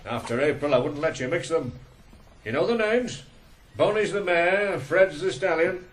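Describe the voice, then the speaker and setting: A male actor playing a patient countryman teaching horse work in a radio drama, measured and instructive. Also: fairly high pitch, expressive, natural voice, low-quality recording. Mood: calm, instructive. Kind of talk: 1980s radio drama acting.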